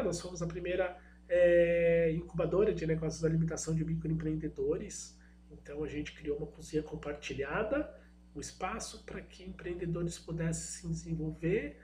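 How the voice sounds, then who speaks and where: A middle-aged man with a Brazilian accent gives an informal presentation in a slightly hoarse voice. He speaks hesitantly, often searching for the next word. The room is echoey, with a faint hum underneath. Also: high-pitched, darkish tone. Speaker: guest speaker, product designer and innovation agent.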